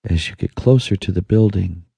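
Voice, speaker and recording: A man narrating a guided meditation in a soothing, hushed manner with long pauses. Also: low-pitched, vocal fry, slightly rough, no room sound. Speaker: audiobook narrator.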